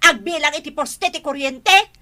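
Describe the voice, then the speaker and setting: A woman playing a nagging, sarcastic wife fed up with her drunkard husband, in Ilocano-accented radio drama style. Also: normal speed. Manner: shrill, sharp-tongued, sarcastic.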